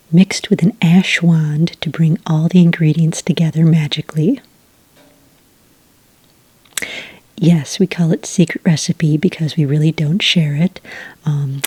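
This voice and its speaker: A woman plays a friendly cafe witch in an ASMR roleplay. Her voice is low, mellow and breathy, mostly a soft whisper. She explains things gently and patiently, close and dry. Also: dry recording. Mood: helpful, gentle. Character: friendly helpful witch serving at a magical cafe. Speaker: cafe server taking orders.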